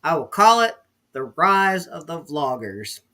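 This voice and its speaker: A man's voice: fairly high pitch, very animated, a little slow, bright, forceful tone. A man hosting a casual online interview, upbeat and chatty with plenty of fillers. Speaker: interview host.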